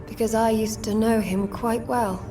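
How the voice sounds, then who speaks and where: A woman playing an ancient, wise and guarded female monster of the sewers in a video game, speaking in measured, formal phrases. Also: fairly high pitch, steady tone, close to an everyday voice. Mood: grave, guarded. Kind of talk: video game cutscene acting. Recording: loud music behind the voice.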